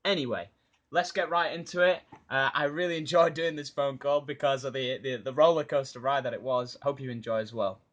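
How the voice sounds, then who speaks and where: Man, medium pitch, casual, hesitant, conversational video creator. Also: fairly even intonation, fairly quick, fairly bright tone, lo-fi sound. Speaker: YouTuber and eBay seller. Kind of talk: casual vlog talk and phone call.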